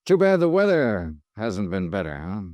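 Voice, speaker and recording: An actor playing a dogged, wry American insurance investigator in a 1950s radio drama, with clipped, probing questions and first-person narration. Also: male voice, on the low side, huge pitch swings, moderate pace, darkish tone, no room sound. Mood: casual, probing.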